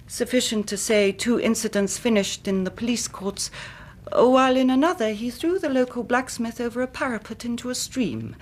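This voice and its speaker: An English actress playing an anxious, careworn young Victorian gentlewoman in a radio drama, speaking formally and precisely. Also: mid-range voice, husky. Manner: formal, precise, dramatic narrating with hushed moments. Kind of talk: British radio drama acting.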